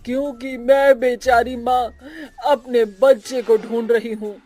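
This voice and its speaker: A woman's voice: on the high side, flat delivery, measured pace, darkish tone, soft background music, voice pushed down. A woman voicing a grieving, possessive witch mother in a cartoon horror story, plaintive and insistent. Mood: sorrowful, pleading. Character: grieving witch mother, possessive yet tender toward children. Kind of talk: Hindi animated horror story acting.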